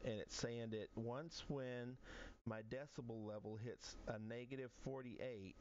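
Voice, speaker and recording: A man's voice: fairly low pitch, darkish tone, dull audio, a little hiss or hum. A man streaming from home, casually walking through his audio settings at his desk microphone, talking them through aloud with frequent restarts. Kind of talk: casual screen-share tutorial.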